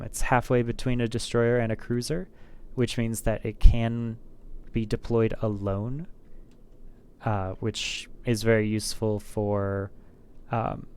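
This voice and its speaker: A man chats his way through a live game stream, his voice gravelly yet smooth and resonant. He rambles and thinks aloud, full of fillers and restarts. He talks close to a desk microphone. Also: medium pitch, darkish tone. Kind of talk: casual gameplay commentary.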